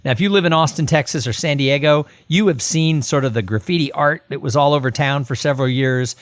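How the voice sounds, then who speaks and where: A man's voice: a little high, fairly quick, no echo. Middle-aged male podcast host, enthusiastic and chatty, close dry mic. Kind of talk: podcast interview with sponsor read.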